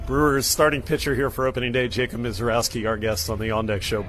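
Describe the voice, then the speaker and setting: A man's voice: mid-range voice, level tone, fast, a bit bright, a little hiss or hum. A man hosting a sports radio broadcast, with a polished announcing and interviewing style.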